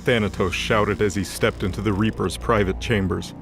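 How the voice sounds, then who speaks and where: Male narrator for mythology audio drama, lowish voice, scripted dramatic read over clear music. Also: fairly even intonation, normal speed. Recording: music under the voice.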